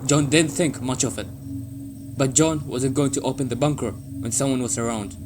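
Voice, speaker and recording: A man's voice: mid-range voice, steady tone, moderate pace, noisy background. A man narrating a scripted audio story in short, plain sentences. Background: music.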